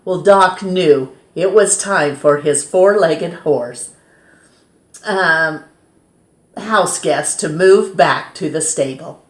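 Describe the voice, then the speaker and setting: A woman reads a children's story aloud as a storytime host, in an expressive, measured way that dramatizes key words. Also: deep voice. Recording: roomy, echoing sound.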